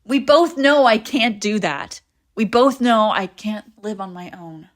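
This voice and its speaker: A woman performs a devoted young woman surviving a zombie apocalypse in an intimate audio drama. She is clingy and emotional, talking closely to her one friend. Her voice sits at an average pitch for a woman, with a conversational, insistent manner. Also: a little slow, natural voice. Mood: desperate pleading. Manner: emotional, pleading, swinging between insistent and hushed. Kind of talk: scripted audio roleplay acting, intimate and conversational.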